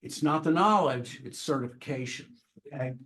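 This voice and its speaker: A man's voice: medium pitch, moderate pace, fairly bright tone. Chatty, encouraging man teaching a class. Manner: chatty and encouraging, with frequent restarts. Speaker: marketing professor. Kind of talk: classroom introductions.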